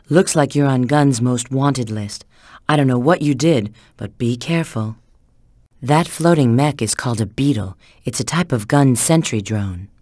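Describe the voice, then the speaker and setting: A woman's voice: very deep voice, darkish tone, dry recording, clean studio sound. An actress playing a sly, confident female spy in a video game, giving scripted hints in a cool, assured, instructive way. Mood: stern, cautioning.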